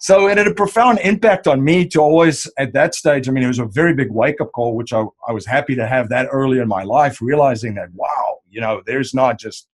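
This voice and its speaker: A man's voice: mid-range voice, brisk, few pauses. South African man telling his story. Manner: rambling, many fillers and restarts. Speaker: podcast host and wealth strategist guest. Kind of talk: casual interview answer.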